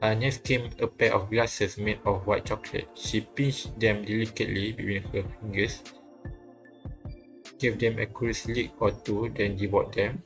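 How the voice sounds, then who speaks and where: A man reading visual novel text aloud in a halting way, often stumbling and repeating phrases. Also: lowish voice, fairly even intonation, soft background music, rough recording. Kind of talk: halting read-aloud narration of game text.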